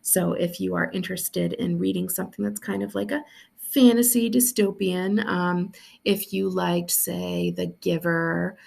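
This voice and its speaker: A woman reading a story aloud as a school librarian, in an expressive narrating style. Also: fairly low pitch, unhurried, vocal fry.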